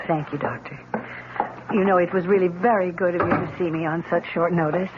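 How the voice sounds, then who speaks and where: A woman's voice: lowish voice, steady tone, brisk, natural voice. An actress playing a kindly, emotional middle-aged lady in an old-time radio drama, speaking in a genteel, hesitant, effusive way. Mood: anxious, hesitant. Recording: muffled, hissy old radio recording.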